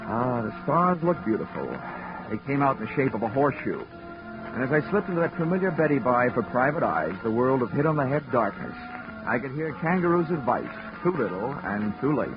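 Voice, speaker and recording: A man's voice: medium pitch, lively intonation, a little fast, natural voice. A man playing a wisecracking, hard-boiled private eye narrating a 1940s radio drama. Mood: wry. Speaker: detective narrator. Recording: muffled old radio recording with music.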